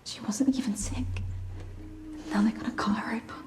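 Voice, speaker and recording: An actress playing a hushed, uneasy woman in a mystery game drama, speaking in a whispery voice. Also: fairly high pitch, fairly even intonation, moderate pace, music in the background, natural voice.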